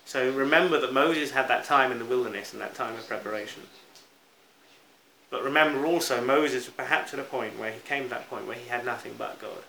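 A man's voice: medium pitch, fairly quick, vocal fry, sharp tone. A British man preaching a sermon in an earnest, reflective manner, stressing key words as he goes. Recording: roomy echo, faint background noise.

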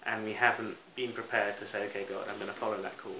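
Bright-voiced British preacher in an echoey room. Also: male voice, lowish voice, flat delivery, quick pace. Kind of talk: sermon. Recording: roomy echo, faint background noise.

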